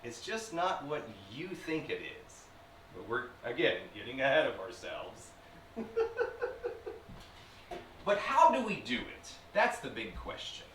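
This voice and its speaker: Wildly swooping sly demon. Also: male voice, mid-range voice, huge pitch swings, a bit bright. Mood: mocking, sly. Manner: slow deliberate delivery with sinister chuckles and sudden swells. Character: sly, taunting male demon possessing a human, theatrical vlogger. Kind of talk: theatrical dramatic monologue addressed to the viewer. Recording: roomy, distant mic with faint noise.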